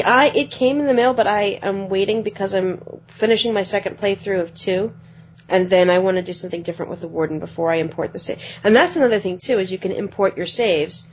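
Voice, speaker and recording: A woman's voice: medium pitch, a little hiss or hum. Chatty woman, dull lo-fi podcast audio. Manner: chatty, rapid, trails off at ends of phrases. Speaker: podcast co-host. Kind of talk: casual chat about video games.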